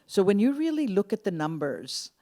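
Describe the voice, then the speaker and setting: A woman's voice: mid-range voice, very animated, normal speed, dark tone. A woman speaking as a panelist, earnest and emphatic, citing figures and urging unity. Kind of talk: panel discussion.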